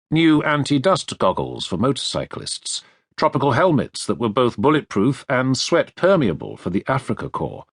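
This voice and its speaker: A man reading a nonfiction history audiobook as a narrator, with measured, deliberate phrasing, close to a studio microphone. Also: mid-range voice, dry recording. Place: dry recording studio.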